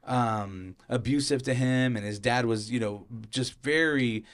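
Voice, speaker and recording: A young man with what he calls a high voice, chatting casually on a podcast with lots of fillers. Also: unhurried. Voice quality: self-described high voice. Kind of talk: casual conversation.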